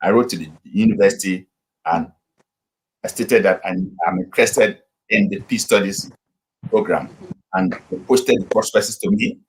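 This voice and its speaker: A man's voice: low voice, a little hiss or hum, rough recording. A middle-aged man from Nigeria, a pastor, telling his story unhurriedly over a video call with many fillers. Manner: unhurried, with frequent fillers and restarts. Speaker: pastor and guest. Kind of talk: casual interview storytelling.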